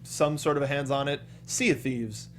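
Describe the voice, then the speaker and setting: A man in a casual panel chat about video games, an animated, emphatic co-host who likes to act out quips. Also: medium pitch, moderate pace. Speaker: podcast co-host.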